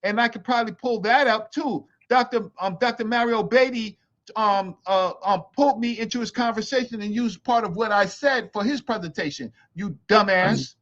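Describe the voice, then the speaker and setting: A man's voice: sits high, normal speed, fairly bright tone. A man in a heated podcast debate, joining by video call, insulting and talking over the others while repeating himself. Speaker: podcast guest. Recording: dull lo-fi call audio.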